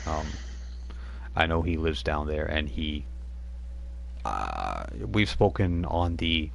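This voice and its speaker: A man's voice: rumbling low, expressive, slow pace, vocal fry, slight background noise. A man running a tabletop role-playing game on a live stream, rambling with frequent restarts as he narrates. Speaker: game master.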